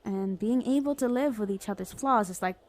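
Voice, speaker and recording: A woman's voice: medium pitch, fairly quick, darkish tone, natural voice. A woman playing a flirty, self-justifying villain in an ASMR roleplay, talking in a casual, playful, sardonic way. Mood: flirty, persuasive. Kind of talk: acted ASMR roleplay monologue.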